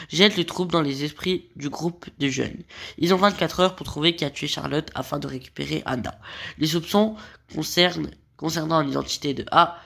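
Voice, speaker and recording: Gravelly-voiced man with a cold. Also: fairly high pitch, a bit bright. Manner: reading a plot summary, stumbling and correcting himself. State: has a cold. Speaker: video creator. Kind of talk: series recap narration.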